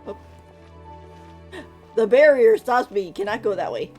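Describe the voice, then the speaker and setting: A woman's voice: mid-range voice, expressive, a little slow, dark tone. A woman streaming a game, giving casual live commentary and thinking aloud in a rambling way. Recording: faint game music behind.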